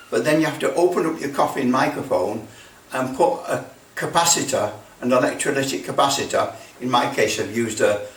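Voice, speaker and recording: An older man in his sixties talks through a practical demonstration in a small workshop. He sits away from the microphone, so the room rings around his voice, with some faint noise behind him. His delivery is casual and halting, wandering as he thinks aloud. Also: medium pitch, a bit bright. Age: 60s. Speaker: radio repair video maker. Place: small indoor workshop with block walls. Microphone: camera mic at a distance. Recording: roomy, distant mic, background noise.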